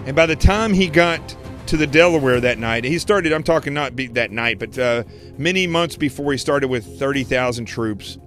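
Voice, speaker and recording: A man hosting a political commentary livestream, preaching emphatically and repeating phrases, his voice going hoarse. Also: mid-range voice, normal speed, a bit bright, faint music behind. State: losing his voice. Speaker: show host.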